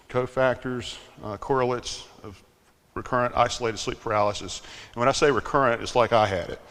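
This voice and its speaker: An older man giving a conference talk in a slightly monotone, relaxed, self-deprecating way, with plenty of fillers. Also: medium pitch. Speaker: conference speaker. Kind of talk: conference presentation. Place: conference hall. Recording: long hall reverb.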